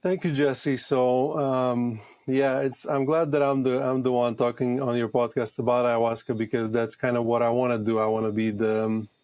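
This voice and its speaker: A man in his thirties with a Latvian accent, talking conversationally as an interview guest in a halting, reflective way. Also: medium pitch, flat delivery, darkish tone, no echo. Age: 30s. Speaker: podcast guest, retreat founder.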